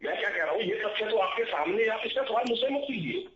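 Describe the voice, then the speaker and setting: A man's voice: high-pitched, expressive, fast talker, a loud crowd. A man arguing as a political panelist on a TV debate, combative and insistent, hammering rhetorical questions. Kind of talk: argumentative TV debate. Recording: roomy, dull lo-fi broadcast link.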